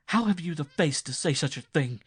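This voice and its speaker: A terrified peasant wife in a storybook reading, pleading in a high, strained voice that trembles with sobs, performed by a man reading aloud. The sound is close and dry, with no room around it. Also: lively intonation, brisk, a bit bright, breathy edge, no room sound. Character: frightened, sobbing peasant wife, adult woman.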